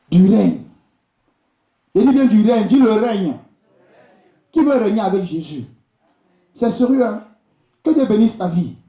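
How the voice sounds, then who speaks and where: A man's voice: high voice, dark tone. A man with an Ivorian accent preaching a charismatic church sermon, exhorting the congregation directly and repeating key phrases. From Côte d'Ivoire. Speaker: visiting preacher and prophet. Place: indoor church during a live service. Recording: echoey church hall sound.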